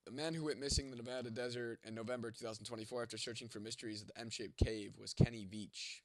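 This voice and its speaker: A man's voice: mid-range voice, fairly even intonation, quick pace, no room sound. A young man hosting a casual livestream and reading out viewer comments. He is congested and losing his voice, with a cough, and talks in a laid-back way with casual swearing. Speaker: livestream host.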